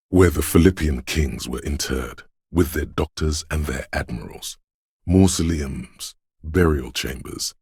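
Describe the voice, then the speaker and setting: An actor playing a brooding inner voice of a desolate wintry city, narrating a literary game in a gravelly, husky bass with a measured, solemn and ominous manner. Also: male voice, no room sound, clean studio sound, exaggerated voice. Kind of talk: slow literary second-person game narration.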